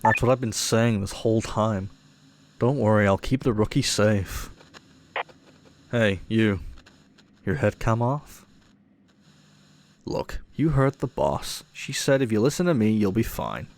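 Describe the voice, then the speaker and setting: A man's voice: fairly low pitch, lively intonation, unhurried, long pauses, a little hiss or hum. A man playing a cocky, irreverent ghost hunter in an audio roleplay, with a teasing, offhand manner. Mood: sly, cocky. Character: cocky, irreverent male ghost hunter showing a rookie around. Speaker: paranormal investigator character.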